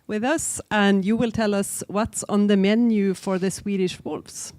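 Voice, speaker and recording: A woman's voice: medium pitch, steady tone, measured pace, a little mellow, no room sound. A woman hosting a seminar, informally welcoming people and introducing the guest speaker. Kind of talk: informal live event introduction.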